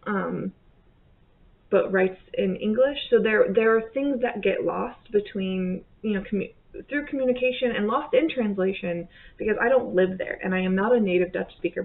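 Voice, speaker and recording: A woman's voice: mid-range voice, gravelly. A woman in her thirties chatting casually at home about her month's reading, rambling and correcting herself. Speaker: book vlogger. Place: bedroom or home office, indoors. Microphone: webcam at desk. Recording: tinny phone-like sound.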